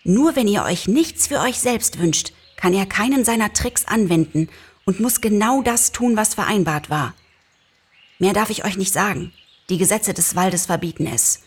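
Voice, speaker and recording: Man voicing a husky, high-pitched shrewd fox. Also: normal speed. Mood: conspiratorial warning. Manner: urgent, conspiratorial. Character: shrewd, urgent, bargaining male fox.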